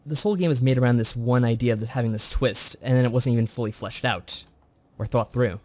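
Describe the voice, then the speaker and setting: A man's voice: medium pitch, fast, a little mellow, no room sound. Man chatting through a casual review. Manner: chatty, informal. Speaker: co-host of a visual novel review show. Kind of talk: casual review talk, recapping a story.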